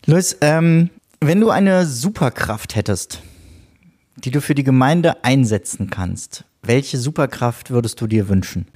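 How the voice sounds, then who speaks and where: A man's voice: mid-range voice, a little slow, breathy edge. Chatty male parish podcast host. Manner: chatty, relaxed, friendly asides. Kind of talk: casual podcast interview.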